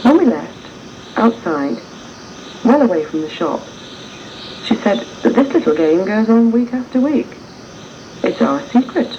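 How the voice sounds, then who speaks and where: A woman's voice: fairly high pitch, very animated, unhurried, pauses often, mellow. A woman reading a scripted first-person story as its narrator. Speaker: story reader. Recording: dull lo-fi tape, faint noise.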